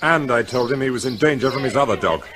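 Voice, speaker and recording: An actor playing a calm police officer in charge, speaking with dry, matter-of-fact authority. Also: male voice, mid-range voice, fast, noisy background, natural voice.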